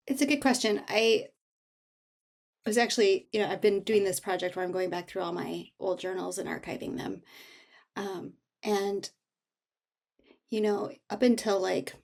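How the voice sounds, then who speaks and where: A woman author being interviewed, telling personal stories in a candid, thoughtful, halting way. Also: mid-range voice, gravelly. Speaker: memoir author, interview guest. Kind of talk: casual, reflective personal storytelling.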